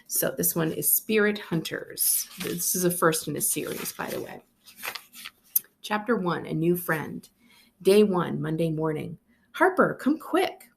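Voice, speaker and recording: An American woman, a school librarian, introducing a book and reading it aloud in an expressive storybook style. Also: low-pitched, a little slow, gravelly, a little hiss or hum.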